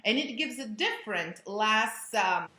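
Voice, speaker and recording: A woman's voice: a little high, unhurried. A woman, an opera coach explaining vocal technique with vivid imagery. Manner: expressive, imagery-rich teaching. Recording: roomy echo.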